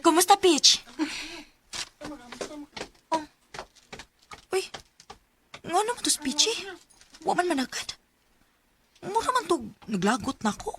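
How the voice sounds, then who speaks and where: A woman's voice: very high-pitched, big pitch swings, takes it slowly, pauses often, a bit bright, a little hiss or hum, heavily acted. A woman playing a sensible, loyal young student in a radio drama, earnest and conversational, with a Cebuano accent. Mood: worried.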